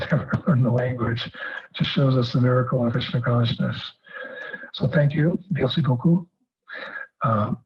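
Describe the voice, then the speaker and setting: A man giving a recorded video greeting, speaking haltingly with frequent fillers and restarts. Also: medium pitch, highs cut off. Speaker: guest speaker in a video message.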